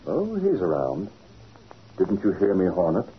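Slick, taunting villain, very animated. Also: male voice, low voice, a little slow, slightly rough, exaggerated voice. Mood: smug, taunting. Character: smug, slick crooked businessman villain, taunting. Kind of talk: 1940s radio acting. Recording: muffled old radio recording, faint hiss.

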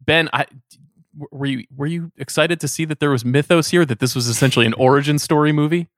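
A man's voice: a little high, fast talker, no echo. A male podcast host talks in a conversational, wry manner. He frames long questions for his guests. The sound is very dry, with little trace of a room.

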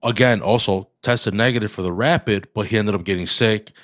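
A man's voice: fairly low pitch, a little fast, a bit bright, no room sound, studio quality. A young man co-hosting a casual podcast, congested and coughing, slipping Spanish words into his English and swearing often. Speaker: podcast co-host.

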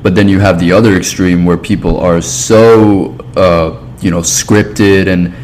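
A man with a low, deep voice talks as a podcast host over faint background noise. His delivery is casual and reflective, with measured, earnest phrasing and an agreeable manner toward his guest. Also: fairly even intonation, a little mellow, poor audio. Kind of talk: casual, reflective interview talk.

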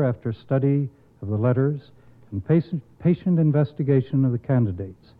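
A man delivering a formal, measured prepared speech as an awards committee spokesman. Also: medium pitch. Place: radio broadcast. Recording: muffled phone-like old radio recording.

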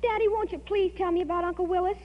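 A woman's voice: very high voice, steady tone, fast. A young woman playing a precocious, dramatic teenage girl in a 1940s radio comedy, earnest and indignant. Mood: scornful, pleading. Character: precocious, dramatic teenage girl, scornful of her ordinary family. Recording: muffled old radio broadcast.

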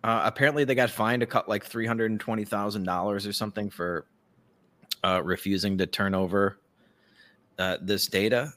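A man's voice: on the low side, fairly even intonation, normal speed, a bit bright. A man giving casual, profane political commentary as a podcast host, ranting and mockingly acting out what others say.

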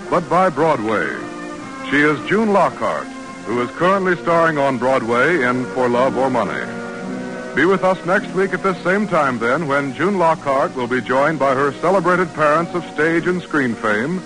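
High-ish formal American radio announcer man. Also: poor audio. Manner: formal, declamatory. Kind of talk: 1940s radio announcing, scripted. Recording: vintage radio broadcast with music. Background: music.

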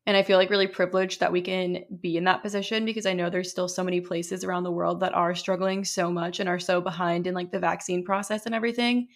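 A young woman co-hosting a podcast in casual chat, talking loosely with many fillers and a slightly hoarse voice. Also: fairly low pitch, little pitch movement, quick pace, few pauses. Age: young adult. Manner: chatty, lots of fillers. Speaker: podcast co-host.

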